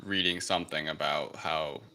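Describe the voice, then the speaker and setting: Male co-host, low voice, bright sharp tone, casual chat, tentative asides. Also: steady tone, moderate pace. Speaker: podcast co-host. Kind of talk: casual film discussion.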